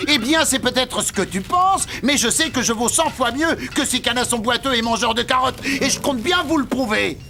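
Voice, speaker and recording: A man's voice: extremely high pitch, normal speed, creaky, few pauses, bright, forceful tone, faint music behind, exaggerated voice. A man voicing a vain, indignant young male scarab beetle in a cartoon, with a boastful, whiny, theatrical delivery. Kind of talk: animated cartoon dialogue.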